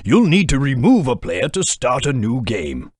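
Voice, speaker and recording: A kindly, proper railway director for a children's game; husky, very lively pitch, dry studio sound. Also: male voice, moderate pace, dry recording. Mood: instructive. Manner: encouraging, formal, patient instruction. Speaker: in-game guide giving instructions. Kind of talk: game voice clips.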